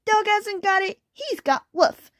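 A woman's voice: very high-pitched, expressive, measured pace, dry recording, clean studio sound, voice pushed up. A woman voicing a tearful, anxious little kitten from a storybook, with a plaintive, wailing manner.

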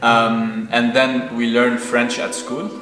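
A man's voice: mid-range voice, level tone, takes it slowly, roomy sound, music in the background, low-quality recording. A man in his thirties from Lebanon, a native Arabic speaker, giving thoughtful interview answers with many hesitations. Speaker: guest. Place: indoor room on a sofa.

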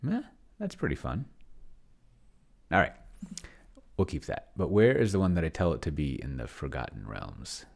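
A man's voice: a bass voice, big pitch swings, moderate pace, gravelly, pauses often, darkish tone. A middle-aged man giving casual, unscripted livestream commentary, rambling as he thinks aloud and trailing off into mumbles. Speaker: host of a tabletop roleplaying experiment stream.

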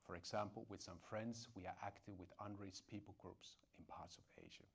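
A middle-aged man giving a formal, measured scripted self-introduction to camera on a lapel mic. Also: low voice, fairly even intonation, creaky, husky. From Finland or Estonia. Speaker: church elder candidate. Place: indoor studio set with dark backdrop.

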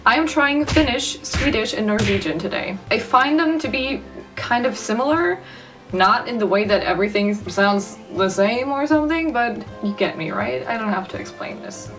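A woman's voice: a little high, expressive, a bit bright, far from the mic, soft background music. A young German woman in her twenties with a German accent, chatting casually in a bedroom vlog and sounding out foreign words. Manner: chatty, self-mocking, sounds out words slowly. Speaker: YouTuber. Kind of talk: casual language-learning vlog. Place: bedroom, indoors. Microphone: studio mic off camera.

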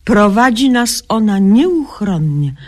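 A woman's voice: mid-range voice, big pitch swings, takes it slowly. An actress playing a self-important, cheerfully incompetent young woman doctor in a satirical radio sketch, mock-solemn and pompous, mangling learned words. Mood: smug, solemn.

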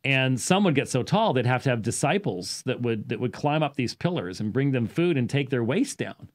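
A man in his fifties speaks in a medium-pitched voice, explaining things off the cuff like a teacher hosting a talk show. His delivery is deliberate and sometimes halting, with weight placed on the important words. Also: big pitch swings. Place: small indoor study with bookshelves. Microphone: studio microphone on boom arm, close.

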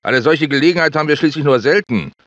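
An actor playing a confident, technical-minded scientist in a German video game, with a precise, official manner. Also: male voice, fairly high pitch, quick pace, fairly bright tone, no echo, lightly acted. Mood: businesslike, assured. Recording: dull lo-fi game audio, dry.

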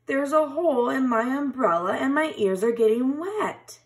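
A woman voicing a grumpy but soft-hearted male bear from a children's storybook, in a drawn-out, emphatic way. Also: high-pitched, animated, pitched above the performer's own voice.

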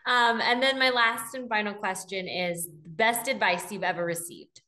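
A woman's voice: medium pitch, moderate pace, sharp tone. A woman in her thirties hosting a podcast, chatting encouragingly into a studio microphone at home. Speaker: podcast host. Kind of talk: casual interview. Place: home room indoors. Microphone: studio microphone on a boom arm.

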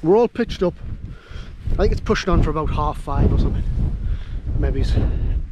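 A man's voice: fairly high pitch, animated, moderate pace, lo-fi sound. A man with a North East English Geordie accent chatting casually as he vlogs a camping trip outdoors. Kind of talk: casual outdoor vlog chat. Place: outdoors on windy open hills. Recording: wind-buffeted outdoor camera audio.

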